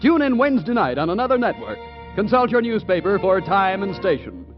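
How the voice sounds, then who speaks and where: A man reads credits and a commercial with the polished punch of a 1940s radio announcer. His voice is high and animated, with faint music behind. The broadcast sound is muffled and lo-fi. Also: fairly quick. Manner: polished, emphatic pitch.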